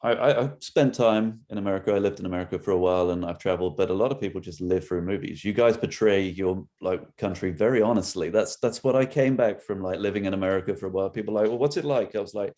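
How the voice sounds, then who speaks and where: Deep, mellow-voiced man. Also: fast talker, hardly any pauses. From England. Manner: chatty, rambling, laughs easily. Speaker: podcast host. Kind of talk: casual video-call interview.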